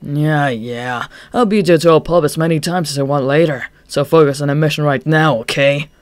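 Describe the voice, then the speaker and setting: A man voicing a brash, hot-headed young man who taunts his enemies loudly and cockily. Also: a little high, moderate pace, no room sound, lightly acted. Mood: gruff. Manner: loud, cocky, taunting.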